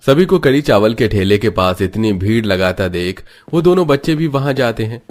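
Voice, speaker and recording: Low-voiced man narrating a story. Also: animated, moderate pace, darkish tone.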